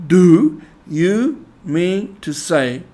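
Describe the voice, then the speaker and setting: An excitable, bossy rat from a storybook, acted out by a man reading aloud. He exclaims emphatically and impatiently, lifted in pitch and loud. His pace is slow and drawn out for emphasis. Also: full character voice. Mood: angry, urgent. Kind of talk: animal character in storybook reading.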